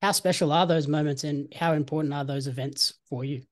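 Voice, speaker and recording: An interviewer puts questions to a guest in a friendly, encouraging manner. The voice sits at a middle pitch and keeps an even, conversational pace. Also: a little fast.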